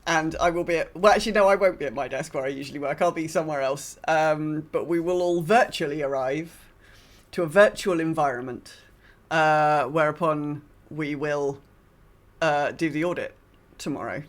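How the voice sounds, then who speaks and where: A woman's voice: sits low, normal speed. Woman, podcast co-host chatting casually. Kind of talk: casual chat.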